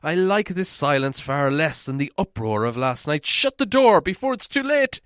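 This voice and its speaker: A man's voice: fairly high pitch, very animated, moderate pace, fairly bright tone, clear, resonant tone, dry recording, studio quality, pitched above the performer's own voice. A man voicing an anxious little hobbit in a storybook, pleading urgently in a raised voice. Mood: uneasy, pleading.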